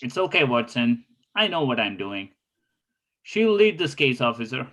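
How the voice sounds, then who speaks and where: A man's voice: medium pitch, big pitch swings, moderate pace, fairly bright tone. A man playing a self-assured, quirky and playful detective in an amateur script table read. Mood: smug, breezy.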